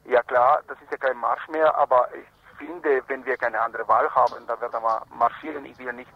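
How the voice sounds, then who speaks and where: Male activist phoning in to radio, non-native, mid-pitched and husky, gropes for words in broken grammar, muffled phone sound. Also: level tone, normal speed. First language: not German. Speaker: activist spokesman for Roma protesters, radio phone-in guest. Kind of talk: live phone interview, spontaneous report and appeal.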